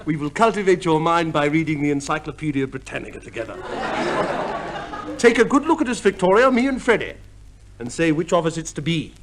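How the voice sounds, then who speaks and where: An actor playing a vain, pompous, cheerful husband back from war in a 1950s radio comedy, speaking with theatrical mock courtesy. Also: male voice, fairly high pitch, animated, a little fast, some chatter behind, natural voice. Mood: grandly persuasive. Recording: noisy vintage radio play recording.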